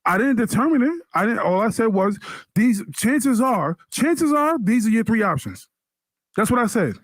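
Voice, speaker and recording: A man in his late thirties with a slightly higher voice argues his point in a heated group debate. He talks insistently and repeats himself when pushed back on. His voice sits close and dry on the mic, with no room sound. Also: big pitch swings, moderate pace, no room sound. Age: 30s. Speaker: podcast co-host.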